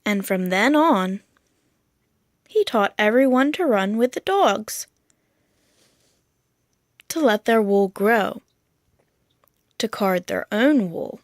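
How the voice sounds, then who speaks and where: A woman reading a children's picture book aloud in an expressive, storytelling manner. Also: mid-range voice, slow pace, pauses often, no echo, studio quality. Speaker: storybook reader.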